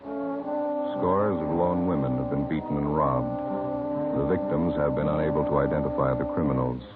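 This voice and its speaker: A husky American man announcing in a formal, scripted 1950s radio style, solemn and measured. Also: a bass voice, sing-song, over loud music. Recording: narrow-band vintage radio broadcast.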